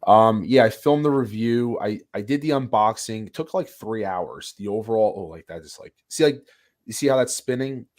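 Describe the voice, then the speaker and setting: A man with a raspy voice from a sinus infection, chatting as a podcast co-host in a rambling way with many restarts. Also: on the low side, moderate pace, a little mellow. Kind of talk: casual podcast chat.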